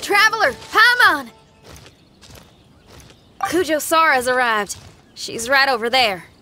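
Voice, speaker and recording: A woman's voice: sits high, very animated, slow pace, music in the background, full character voice. An actress playing a spirited, friendly young female street musician in a video game, earnest and folksy. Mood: excited.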